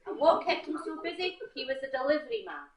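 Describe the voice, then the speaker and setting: High-voiced Scottish woman storytelling on stage. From Scotland. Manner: halting, punning, addresses the audience directly. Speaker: competition storyteller. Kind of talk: live comic storytelling, tall tale. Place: theatre stage before an audience. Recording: roomy, echoing theatre recording.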